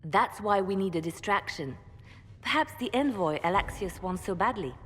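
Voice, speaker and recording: An actress playing a cool, calculating spymistress, measured and pragmatic, in a fantasy video game. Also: female voice, medium pitch, animated, fairly bright tone, breathy edge. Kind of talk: dramatic video game acting. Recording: reverberant hall with faint noise.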